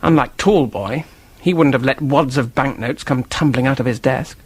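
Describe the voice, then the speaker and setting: Man acting a husky, defensive office clerk. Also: mid-range voice, normal speed, no room sound, lightly acted. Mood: frank, earnest. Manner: hesitant, stammering, blurting. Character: flustered, defensive, earnest male office clerk with a temper. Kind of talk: radio drama acting, detective mystery.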